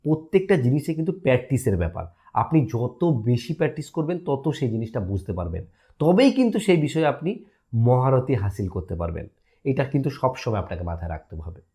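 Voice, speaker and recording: A male tutorial host walks listeners through an app in a casual, step-by-step way. His voice is mellow and medium in pitch, with lively rises and falls. He often pauses his explanation to check that listeners follow.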